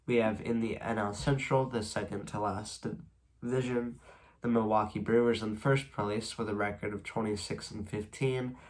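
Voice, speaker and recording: A man's voice: lowish voice, normal speed, poor audio. A young man with a stuffy, congested nose and a slightly worn voice, casually recapping baseball standings as a video host and rambling a little between stats. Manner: reads stats at a steady clip, rambling asides. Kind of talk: casual solo sports recap.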